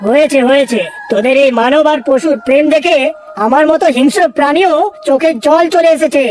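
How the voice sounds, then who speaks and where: A woman's voice: high-pitched, a little fast, a little mellow, lightly acted. A woman voicing a proud male lion in a cartoon, commanding and boastful. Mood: moved, conciliatory. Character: fierce male lion lord, boastful, softening. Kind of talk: cartoon voice acting. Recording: music behind the voice.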